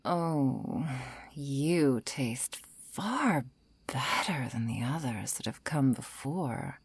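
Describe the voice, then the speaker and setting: A woman playing a seductive, menacing undead vampire in an intimate ASMR roleplay, coaxing and softly threatening, often slipping into whispers. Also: animated, extremely slow, heavily acted. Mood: sultry, predatory. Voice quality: low, breathy, creaky.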